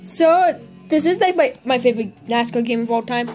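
A woman voicing an excitable young male teddy bear gamer in a homemade puppet video, rambling and squealing with laughter, recorded on a phone at home. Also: fairly high pitch, normal speed, soft background music, close to an everyday voice. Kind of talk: homemade plush puppet vlog.

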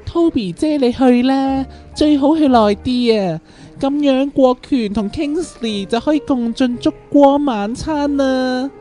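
Woman acting out a high-voiced, whiny lovesick young man. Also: measured pace, warm tone, soft background music. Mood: playful, clingy. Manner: theatrical, whiny, repeats words. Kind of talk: campy comic radio drama acting.

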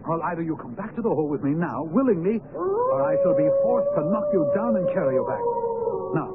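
An actor playing a firm, anxious, protective gentleman in a radio drama, speaking formally and insistently. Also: sits high, huge pitch swings, brisk. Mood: agitated, forceful. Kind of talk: 1970s radio drama acting. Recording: tinny, very noisy old radio broadcast.

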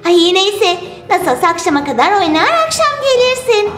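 Woman as a high-voiced, breezy big-sister doll. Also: very animated, normal speed, fairly bright tone, heavily acted. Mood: dismissive. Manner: sing-song, breezy. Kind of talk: children's toy-play storytelling. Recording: roomy sound with music behind.

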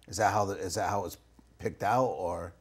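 A middle-aged man with a husky, somewhat low voice hosts a sit-down interview in a small TV studio. He talks loosely, circling his point with restarts and asides. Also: fairly even intonation, normal speed. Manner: rambling, many restarts and asides. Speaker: talk show host. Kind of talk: casual interview chat. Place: small TV studio with curtain backdrop.

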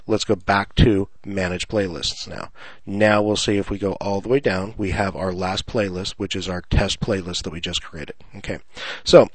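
A man's voice: mid-range voice, no room sound. A man presenting an unscripted screencast tutorial, explaining things casually, step by step.

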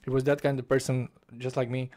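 A man's voice: mid-range voice, flat delivery, fairly quick, darkish tone. A young man, talking through a book review in his bedroom into a desk mic, passionate and restarting his phrases. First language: Romanian. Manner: passionate, gestures with both hands, restarts phrases. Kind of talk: casual spoken book review. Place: small bedroom at home. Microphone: desk microphone in front of face, webcam framing.

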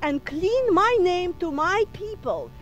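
A woman's voice: very high voice, big pitch swings, slow pace, loud music behind the voice, exaggerated voice. A woman playing an indignant, defensive Russian immigrant, speaking with a Russian accent in a dramatic, accusing manner. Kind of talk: agitated interview. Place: inside a car.

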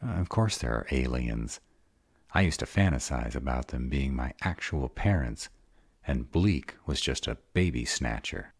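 Casting: male actor as wry, insecure vampire for audio roleplay; rock-bottom register, slow delivery. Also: rumbling low, expressive, slightly rough, no room sound, studio quality, exaggerated voice. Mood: sarcastic, incredulous. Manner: sarcastic, wry. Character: sarcastic grown male vampire, guarded, insecure, starved of love.

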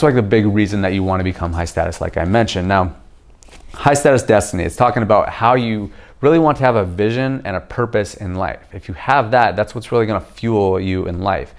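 A young man speaks in a low, deep register, talking straight to the camera in a home kitchen. His delivery is casual and explanatory, with easy pauses and frequent "okay?" check-ins. He sounds like a vlogger reviewing a book for his channel. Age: young adult. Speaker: video host reviewing a book. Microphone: camera facing him at chest-up framing.

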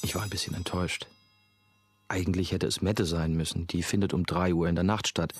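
A man's voice: sits low, brisk, faint music behind, natural voice. An actor playing a young novice monk who narrates his past in a radio play, dry, laconic and sardonic. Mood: dry, reflective. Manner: deadpan, laconic.

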